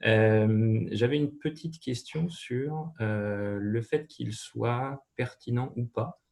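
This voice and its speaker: A man's voice: mid-range voice, slow, gravelly, darkish tone. A man, a newly elected councillor, politely and hesitantly asking a question in an online meeting. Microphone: video call.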